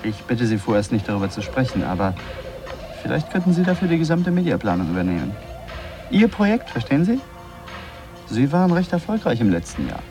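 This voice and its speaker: A man acting a secretive, smooth-talking manager in a German radio drama, polite and coaxing. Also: medium pitch, sing-song, brisk, music in the background. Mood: coaxing, discreet. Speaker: actor.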